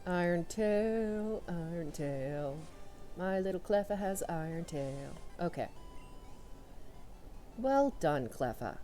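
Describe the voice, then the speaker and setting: A young woman commentating a video game run, loud and excitable, talking to her characters as she plays. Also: lowish voice, takes it slowly, long pauses, soft background music. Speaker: let's player. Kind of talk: casual gameplay commentary.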